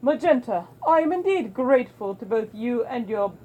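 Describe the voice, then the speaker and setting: A woman's voice: fairly high pitch, animated. Woman playing a vain, commanding castle master. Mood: grand. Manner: grand, drawn-out, sinking to a hush.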